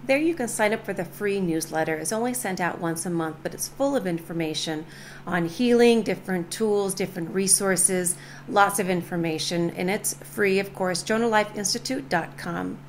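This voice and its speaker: A woman radio host opening her show and interviewing a guest in a smooth, scripted manner. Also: medium pitch, moderate pace, slight background noise.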